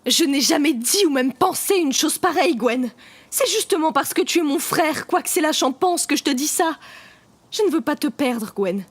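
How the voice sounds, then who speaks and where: Une actrice joue une jeune combattante exigeante mais dévouée, au ton appuyé et sincère, dans une fiction audio. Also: female voice, high-pitched, lively intonation, normal speed. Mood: earnest, insistent. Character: young female fighter, demanding but devoted adoptive sister.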